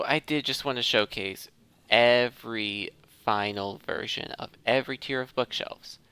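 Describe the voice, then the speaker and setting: A man's voice: mid-range voice, sharp tone, smooth, no echo. A man hosting a gaming video, giving casual commentary and thinking aloud as he talks through each step. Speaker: host of a gaming video series.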